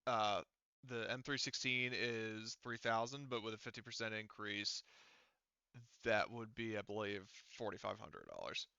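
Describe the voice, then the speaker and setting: Bright, clear-voiced man running a game. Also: fairly low pitch, lively intonation, a little slow, no room sound, highs cut off. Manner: hesitant, thinking aloud while explaining. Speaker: game master. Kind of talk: casual online tabletop game talk.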